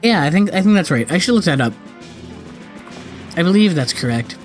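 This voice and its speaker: A gamer chats casually through a let's play in a mid-pitched voice. The delivery rambles and doubles back to fix itself. Video game music runs behind the talk. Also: expressive, fairly quick. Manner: rambling, self-correcting. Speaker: gaming video host. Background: video game cutscene music.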